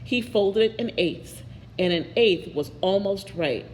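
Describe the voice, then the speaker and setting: A woman's voice: medium pitch, lively intonation, a little slow, darkish tone. A woman reading a picture book aloud expressively, with little asides to her listeners. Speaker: storybook reader. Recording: echoey reverberant room, faint noise.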